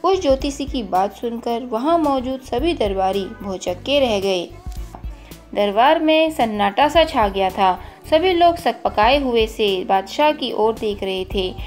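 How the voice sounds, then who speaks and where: Female narrator for scripted kids' folk tales, voice on the higher side, light music underneath. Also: lively intonation, a little slow, darkish tone. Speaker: storyteller and channel host. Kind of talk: scripted children's story narration. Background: background music.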